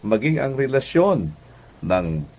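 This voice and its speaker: A middle-aged Filipino man speaking as a pastor hosting a devotional radio program, deliberate and preacherly, often pausing mid-phrase. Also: medium pitch, sing-song, darkish tone. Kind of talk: devotional radio sermon with greetings.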